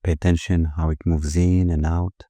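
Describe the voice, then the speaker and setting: A man leading a guided meditation in a slow, gentle, soothing manner, with pauses between phrases. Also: deep voice, little pitch movement, dry recording.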